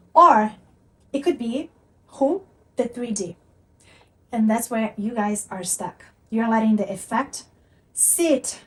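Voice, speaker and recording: A woman's voice: fairly high pitch, far from the mic, rough recording. A woman from Brazil with a Brazilian Portuguese accent, teaching earnestly to camera and repeating her points for emphasis. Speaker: manifestation coach.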